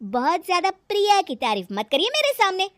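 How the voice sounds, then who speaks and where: A woman's voice: extremely high pitch, huge pitch swings, fairly quick, fairly bright tone, dry recording, studio quality, voice pushed up, exaggerated voice. A woman voicing a lazy, brash young daughter-in-law in a cartoon moral story, complaining and dramatic. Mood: defiant.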